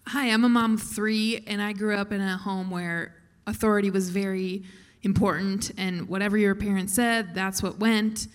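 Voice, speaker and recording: A woman's voice: medium pitch, steady tone, normal speed. A woman, a mother of three, asking a question from the audience, earnest and a little hesitant.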